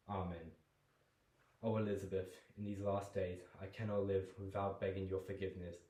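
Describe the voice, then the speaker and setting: A man's voice: low-pitched, close to an everyday voice. A young man performing a guilt-ridden condemned man in a jail cell, solemn and pleading. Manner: solemn, builds to furious shouting. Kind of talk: dramatic monologue performance. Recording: roomy echo.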